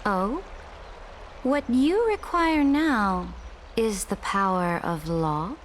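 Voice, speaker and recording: A woman voicing a haughty, commanding young emperor in a video game, speaking with regal, deliberate grandeur and cool amusement. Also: mid-range voice, expressive, slight background noise. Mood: intrigued. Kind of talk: scripted video game voice acting. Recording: clean voice under clear background music. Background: game music.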